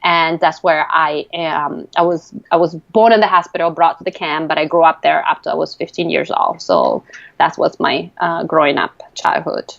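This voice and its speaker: Female interview guest from Argentina. Slightly low voice, halting conversational answers, dry but muffled recording. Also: gravelly, fairly bright tone, dry recording. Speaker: entrepreneur guest.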